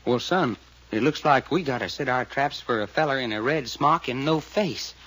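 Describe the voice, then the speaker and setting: A man's voice: medium pitch, normal speed, fairly bright tone, close to an everyday voice, poor audio. An actor playing a folksy, blunt male sidekick. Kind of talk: 1940s radio acting.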